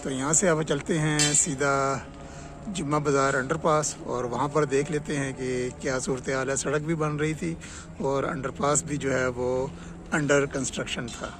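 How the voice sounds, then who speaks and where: A man's voice: a little high, steady tone, measured pace. A man with a Pakistani accent giving a matter-of-fact, explanatory on-site road update as a vlogger outdoors. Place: outdoors on a roadside by construction works. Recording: loud outdoor traffic and vehicle noise. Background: traffic, vehicles, outdoor ambience.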